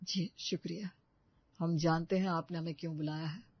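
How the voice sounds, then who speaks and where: A woman's voice: low-pitched, steady tone, normal speed, breathy edge, no room sound, lo-fi sound. A woman voicing a proud, humble middle-class parent in a story. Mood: polite.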